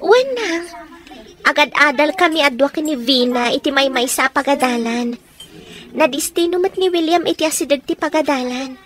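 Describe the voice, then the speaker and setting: A woman's voice: sits high, normal speed, fairly bright tone. A woman playing a young, chatty daughter in a radio drama, with an Ilocano accent. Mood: confiding. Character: young daughter chattily confiding in her mother.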